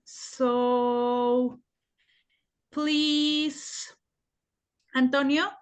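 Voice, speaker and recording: A young adult woman running an oral English exam, asking measured questions and guiding the candidates. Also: high-pitched, steady tone.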